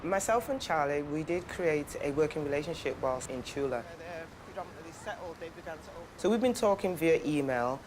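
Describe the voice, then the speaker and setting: A woman's voice: deep voice, expressive, normal speed, long pauses, crowd noise. A woman who works at a community radio station, speaking earnestly and conversationally in a documentary interview. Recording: music bed underneath.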